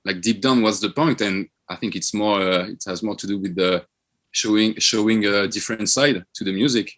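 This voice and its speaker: A man chatting informally as an interview guest, thinking aloud in a halting way. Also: on the low side, fairly bright tone. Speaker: band member, podcast guest. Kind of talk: casual interview chat.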